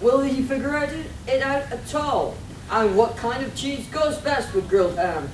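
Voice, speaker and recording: A woman's voice: high voice, moderate pace, lightly acted. A woman performing an arch, mock-dramatic narrator of a theatrical radio serial. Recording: echoey, noisy hall recorded from far off.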